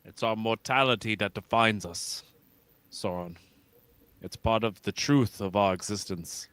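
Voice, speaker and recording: A man playing a principled, resolute starship captain in an amateur radio play, speaking earnestly and with deliberate authority. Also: fairly low pitch, a bit bright, clean tone, no room sound. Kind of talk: amateur radio theatre script read.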